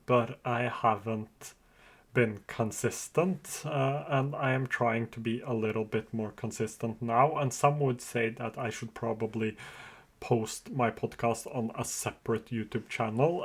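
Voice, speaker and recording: A man with a Norwegian accent who speaks Norwegian and Farsi natively, hosting his own podcast in an earnest, open and slightly hesitant monologue. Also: medium pitch. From Norway. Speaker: podcast host. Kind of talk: casual solo podcast monologue.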